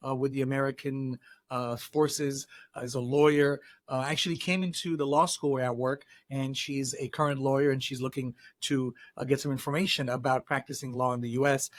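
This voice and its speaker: A man's voice: medium pitch, a bit bright, no room sound. A man hosting a podcast, enthusiastic and casual, leaning on many fillers as he introduces and questions his guest. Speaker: podcast host. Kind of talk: casual interview.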